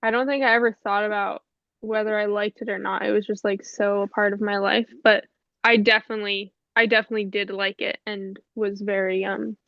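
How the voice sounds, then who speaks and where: A woman's voice: mid-range voice, fairly quick, no room sound. Brief: young woman, mildly stuffy, easygoing rambling talker, dull lo-fi call sound, dry. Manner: relaxed, rambling, many fillers and soft laughs. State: a little stuffy. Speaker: musician guest. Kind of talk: casual interview chat.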